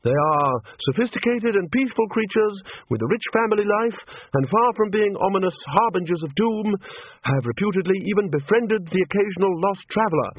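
A man's voice: sits high, breathy edge, no echo, narrow, tinny audio, voice pushed up, full character voice. A man voicing a pedantic, muddled academic wizard with a hesitant manner in a comic fantasy audiobook.

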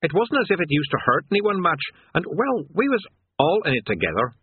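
A sullen, grumbling demon, coarse and gruff in a working-class way, as a male narrator puts him on. His voice sits high and tight, and the sound is tinny and phone-like with a husky edge. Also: big pitch swings, brisk, no room sound, exaggerated voice.

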